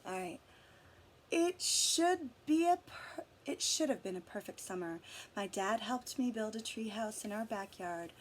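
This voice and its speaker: A woman reading a picture book aloud to children, with dramatic emphasis, drawn-out words and asides to her listeners. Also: medium pitch, lively intonation, a little hiss or hum. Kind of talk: storybook read-aloud with asides and questions to listeners.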